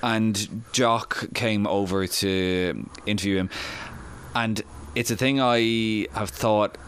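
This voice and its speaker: Low, smooth-voiced man chatting. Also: fairly even intonation, slow pace. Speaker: podcast co-host. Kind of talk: casual review chat.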